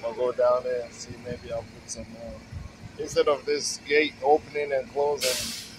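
A man in his forties, a mobile welder, casually talking to the camera in a laid-back, unhurried way while working outdoors at a job site, recorded on a phone. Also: medium pitch, flat delivery, background noise. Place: outdoors on a work site, beside a truck. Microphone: handheld phone camera and wireless earpiece.

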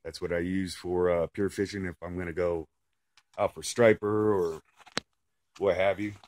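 Middle-aged man, very low voice, easygoing how-to delivery, dry recording. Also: fairly even intonation, normal speed, darkish tone, no room sound. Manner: plainspoken, says "okay" and "right" often. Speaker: fishing vlogger. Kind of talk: casual how-to talk.

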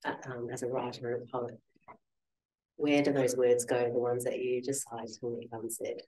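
Quiet-voiced Australian woman in a roomy hall. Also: rumbling low, little pitch movement, moderate pace. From Western Australia. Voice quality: quiet soft voice. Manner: gentle, reflective, frequent soft ums. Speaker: author reading and in conversation. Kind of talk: literary conversation and reading intro. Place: lecture room, seated on a small stage. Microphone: handheld mic held at chest. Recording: roomy lecture hall echo.

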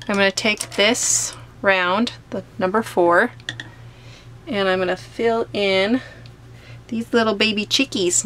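A woman art teacher calmly talking through a step-by-step painting tutorial as she paints at a table. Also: medium pitch. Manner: gentle, unhurried explaining while painting. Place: home studio, overhead camera on painting table.

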